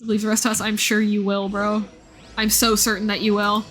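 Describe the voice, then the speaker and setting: A woman's voice: medium pitch, monotone, normal speed, fairly bright tone, a little hiss or hum. A woman game streamer chatting casually and commenting as she plays, informal and meandering as she thinks aloud. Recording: music playing behind the voice. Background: background music.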